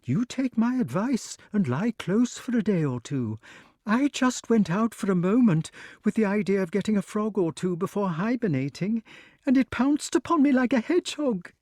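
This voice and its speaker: A man voicing a timid, fussy male grass snake who talks in a fluttery, excited way. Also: high-pitched, takes it slowly, dry recording, clean studio sound, pitched above the performer's own voice. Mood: fretful.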